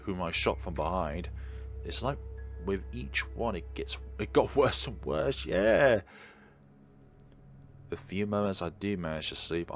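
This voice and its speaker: A man reading a visual novel aloud as a let's play host, slipping in wry asides, with music behind him. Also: low voice.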